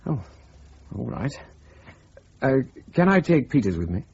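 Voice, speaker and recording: Husky, dark-voiced British intelligence officer. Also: male voice, mid-range voice, sing-song, a little slow, lo-fi sound, full character voice. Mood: dry, deferential. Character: brisk, dry male intelligence officer, second-in-command. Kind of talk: radio drama acting.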